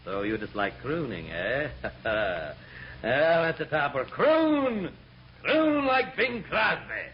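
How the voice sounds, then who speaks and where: An actor playing a pompous, gloating hypnotist with a theatrical, commanding delivery in a radio comedy. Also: male voice, on the high side, sing-song, slow pace, slightly rough, heavily acted. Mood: gloating, vengeful. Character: pompous, gloating male stage hypnotist, easily outraged. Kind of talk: mid-century radio comedy acting. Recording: dull, noisy old radio recording.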